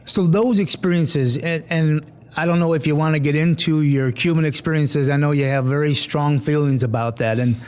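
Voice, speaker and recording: Halting, somewhat high-voiced male interviewer. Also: darkish tone, clear, resonant tone. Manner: halting, restarting questions with many "um" and "uh" fillers. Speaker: school superintendent, interviewer. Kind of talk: conversational interview hosting.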